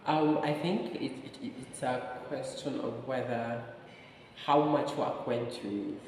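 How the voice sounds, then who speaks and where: A man's voice: mid-range voice, fairly even intonation, measured pace, a little mellow. Kenyan man reviewing in an echoey hall. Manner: hesitant, searching for words, repeating phrases. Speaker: theatre reviewer, co-host. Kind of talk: casual conversational review. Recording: echoey hall, distant mic, faint noise.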